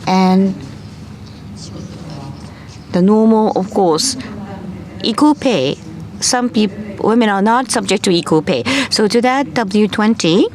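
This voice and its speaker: A woman moderating a panel session and then interpreting a speaker, halting with frequent fillers and restarts. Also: medium pitch, a little mellow, slight background noise. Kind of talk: conference moderation and live interpretation. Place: conference hall.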